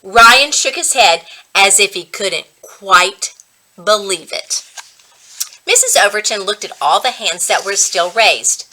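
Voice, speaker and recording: A woman reading a children's book aloud as a reading coach, with expressive, dramatic storytelling. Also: medium pitch, takes it slowly, poor audio.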